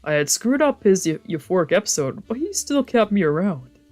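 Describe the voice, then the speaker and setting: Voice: medium pitch, big pitch swings, fairly quick, a little mellow. Casual, sweary let's play streamer. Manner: casual, sweary, exclaims and reacts freely. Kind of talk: casual game commentary mixed with reading visual novel text aloud.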